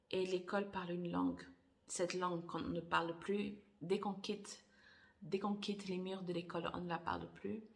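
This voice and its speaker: A woman with a low, gravelly voice talks in a relaxed vlog. Her first language is Portuguese, and she speaks in a thoughtful, halting way, pausing often and restarting her sentences. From Angola. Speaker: author. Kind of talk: casual personal vlog.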